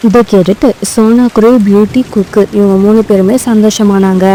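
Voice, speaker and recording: A woman's voice: mid-range voice, fairly even intonation, moderate pace, mellow, a little hiss or hum, low-quality recording. A woman narrating a children's moral story as a scripted voice-over.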